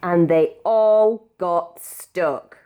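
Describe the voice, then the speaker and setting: A woman with a British accent reading a children's picture book aloud in an expressive, measured storytelling manner. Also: on the low side, smooth, phone-quality sound. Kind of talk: read-aloud storybook narration.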